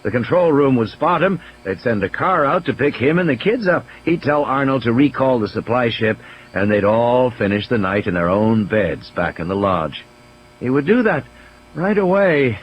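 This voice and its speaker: A man's voice: mid-range voice, sing-song, normal speed, muffled recording, a little hiss or hum. A man reading scripted dramatic story narration as an audiobook narrator. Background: background ambience and sound effects.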